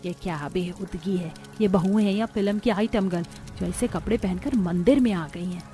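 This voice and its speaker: A woman's voice: medium pitch, normal speed, dark tone, close to an everyday voice. A woman voicing a harsh, moralising middle-aged temple-goer in a cartoon, scolding and threatening. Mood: scornful. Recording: music under the voice.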